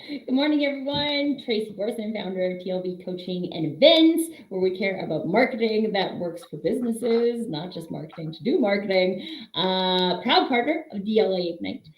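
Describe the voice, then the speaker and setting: A woman panelist who introduces herself with bright enthusiasm. Her pitch moves in a lively way. The call audio sounds echoey. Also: moderate pace. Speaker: panelist, coaching business founder. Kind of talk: casual self-introduction.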